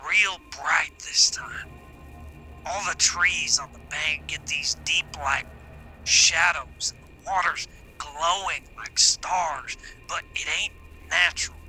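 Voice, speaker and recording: A jumpy old rural loner. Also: male voice, on the high side, slow, sharp tone, faint music behind, full character voice, poor audio. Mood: uneasy. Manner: rustic drawl, uneasy storytelling. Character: jumpy old rural man on his porch. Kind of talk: radio drama acting.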